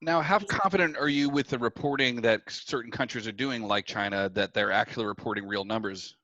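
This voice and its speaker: A man's voice: mid-range voice, a little fast, bright, forceful tone, dry recording. A man speaking casually with interjections and questions, recorded with a slightly muffled sound.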